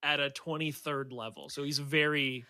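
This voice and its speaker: Podcast cohost guy, bright kinda high voice, casual deadpan-ish jokes. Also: moderate pace, no room sound, studio quality. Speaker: podcast co-host. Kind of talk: casual joking chat.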